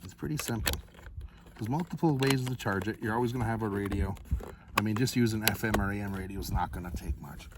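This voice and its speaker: A man with a fairly low voice talks viewers through a product review, unscripted and casual. He rambles along in a laid-back, folksy manner, with clear background noise like that of a vehicle in the recording. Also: fast talker.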